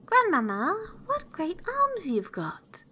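A woman's voice: high-pitched, very animated, moderate pace, bright, forceful tone, slight background noise, voice pushed up, heavily acted. A woman voicing an innocent, polite little girl in a storybook, earnest, wondering and sing-song. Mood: amazed.

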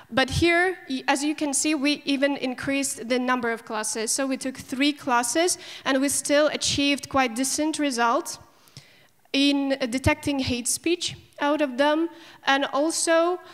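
A woman's voice: sits high, fairly bright tone. A young woman whose first language is Ukrainian, giving a technical conference talk into a handheld microphone in a large lecture hall, with loose phrasing, fillers and restarts. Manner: loose, halting delivery with frequent fillers and restarts. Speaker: conference speaker.

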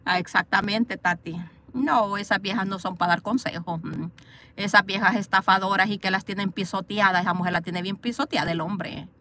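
A woman's voice: medium pitch, fairly even intonation, normal speed, pushed and bright. A woman vlogging from a parked car into her phone, bluntly scolding and gossiping as she reads live comments. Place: parked car, outdoors view. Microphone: phone camera.

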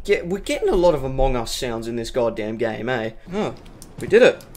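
A man's voice: medium pitch, big pitch swings, a little fast, noisy background. A young Australian man giving sweary, jokey, repetitive commentary while playing a horror game. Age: young adult. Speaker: video game streamer. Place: indoor room at home. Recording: loud game audio over the voice. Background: loud game music and machinery sounds.

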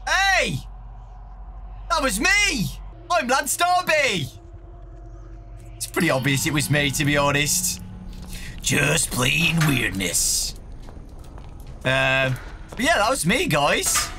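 A man in his twenties giving an excited, casual reaction as a gaming YouTuber. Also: fairly high pitch, takes it slowly, pauses often, a little hiss or hum. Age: 20s. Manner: excited, animated. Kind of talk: casual let's play reaction. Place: small bedroom-style room indoors.

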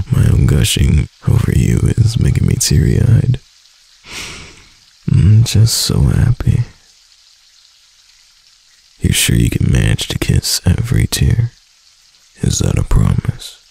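Man doing a sappy clingy husband, very deep, gravelly, husky, slow and close. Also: huge pitch swings, heavily acted, low-quality recording. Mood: doting. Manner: soft, intimate, sappy. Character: doting clingy young husband, affectionate and playful. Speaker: voice actor. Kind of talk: boyfriend roleplay ASMR.

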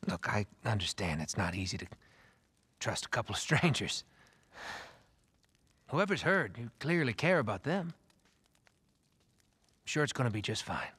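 An actor playing a middle-aged American man, a polite, soft-spoken survivor leader who talks in a hesitant, measured, placating way in a video game. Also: fairly low pitch, very animated, husky, dry recording, exaggerated voice. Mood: gentle, coaxing. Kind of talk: naturalistic video game acting.

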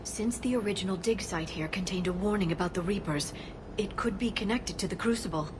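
A woman voicing a calm alien scientist. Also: mid-range voice, fairly quick, background noise, close to an everyday voice. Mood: earnest. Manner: calm, explanatory. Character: earnest young female alien scientist. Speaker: archaeologist companion. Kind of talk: video game cutscene dialogue. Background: game music.

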